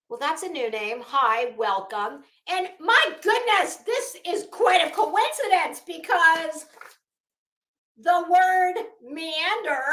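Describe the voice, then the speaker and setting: An American woman hosting a livestream, chatting casually with viewers in a sarcastic, blunt, sweary and animated way. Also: high-pitched. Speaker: livestream host. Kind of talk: casual chat with live viewers. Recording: roomy, echoey sound.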